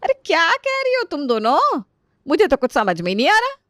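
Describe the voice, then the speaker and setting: Woman acting a high-voiced doting older mother-in-law. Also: huge pitch swings, fairly quick, fairly bright tone, dry recording, studio quality, full character voice. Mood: taken aback. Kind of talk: acted family storytelling dialogue.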